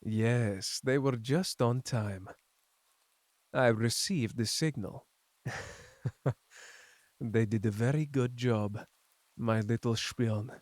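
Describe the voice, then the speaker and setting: A man acting a calculating, sinister spymaster villain in an audio drama, formal and measured in manner. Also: medium pitch, a little mellow, no room sound, close to an everyday voice. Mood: coolly scheming.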